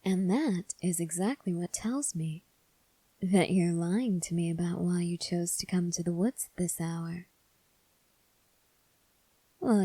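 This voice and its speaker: A woman playing a calm, probing female vampire in an ASMR roleplay, speaking softly and breathily with deliberate pauses. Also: fairly low pitch, dry recording. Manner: soft, breathy, measured delivery with deliberate pauses. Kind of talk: ASMR roleplay addressed to the listener.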